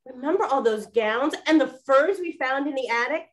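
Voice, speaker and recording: A woman's voice: high-pitched, lively intonation, moderate pace. A woman playing a chatty, wistful lady detective of the 1920s in a radio-style play.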